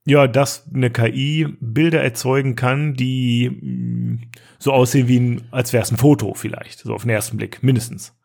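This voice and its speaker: A man's voice: mid-range voice, expressive, moderate pace, creaky, darkish tone. A man hosting a podcast in loose, colloquial, unscripted chat with a teasing touch. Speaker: podcast host.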